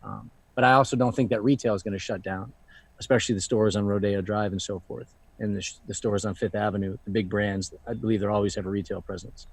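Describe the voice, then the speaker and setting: A man's voice: fairly low pitch, steady tone, quick pace, creaky, breathy edge. A man in his thirties, a luxury fashion founder and guest, talking casually and reflectively, with many "you know" fillers. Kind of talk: casual live online Q&A.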